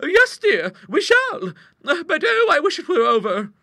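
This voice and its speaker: A man's voice: extremely high pitch, huge pitch swings, unhurried, gravelly, bright, forceful tone, dry recording, studio quality, voice pushed up, exaggerated voice. A man voicing a timid, easily horrified genteel old spinster in an audiobook, with breathless, quavering speech. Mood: anxious. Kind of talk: audiobook character voice.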